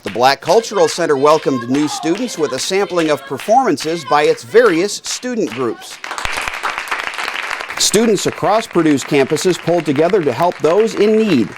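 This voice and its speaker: An American man reading scripted newsreel narration in a measured announcer's delivery. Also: a little high, lively intonation, a crowd in the background, noisy background. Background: music.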